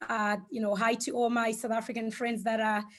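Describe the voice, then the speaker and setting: A woman, a Shona speaker, giving an informal online presentation in a reflective, hesitant way, often pausing mid-thought. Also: a little high, flat delivery. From Zimbabwe. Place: online video call.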